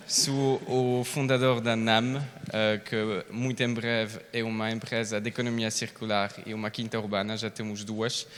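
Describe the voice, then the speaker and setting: Young Belgian founder, male, foreign accent, lowish even-toned voice, speaking informally at a panel in a reverberant hall. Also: clean tone. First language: not Portuguese, a foreign speaker. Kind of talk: informal public talk. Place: large indoor hall, public event. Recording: echoey hall with long reverb.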